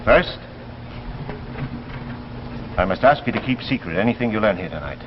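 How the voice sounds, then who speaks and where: An actor playing a solemn, authoritative doctor who heads a secret scientific committee in a 1950s science fiction drama, formal and deliberate. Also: male voice, lowish voice, expressive, noisy background, lightly acted. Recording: dull vintage 1950s broadcast, music behind.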